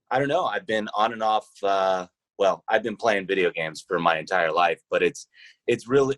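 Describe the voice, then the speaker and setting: A man's voice: lowish voice, fairly bright tone, no room sound, rough recording. A man in his thirties chatting casually as a guest on a podcast. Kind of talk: casual chat.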